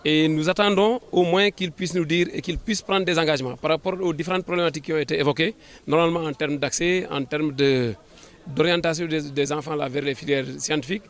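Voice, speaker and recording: Higher-voiced Senegalese man, formal and halting. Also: steady tone, fairly bright tone. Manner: formal administrative phrasing, halting with repeated words. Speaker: government official, sub-prefect. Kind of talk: press interview answers.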